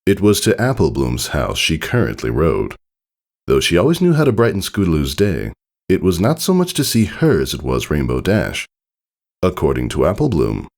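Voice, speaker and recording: A man narrates a story in a low, gravelly voice. His pitch swings widely as he reads, giving the scripted text an expressive storyteller's delivery. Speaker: fan fiction reader.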